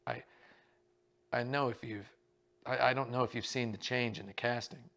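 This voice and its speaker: A man's voice: mid-range voice, fairly even intonation, moderate pace, creaky, fairly bright tone. A man voicing a nervous, resentful male actor who hesitates and stammers as he talks. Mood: tense.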